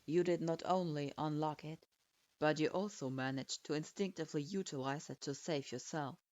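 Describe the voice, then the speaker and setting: A woman voicing an imposing male clan head who speaks calmly, in a measured and patronizing way, recorded with a dull lo-fi sound. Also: low voice, dry recording, pitched below the performer's own voice, close to an everyday voice. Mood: appraising.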